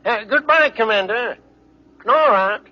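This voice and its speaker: A sly space crook with a high, swooping voice. He taunts with mock politeness, gloating in old-time radio serial fashion. The recording is dull and dated. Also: expressive, measured pace. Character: gloating male space villain, sly and mocking. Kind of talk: 1950s radio serial acting.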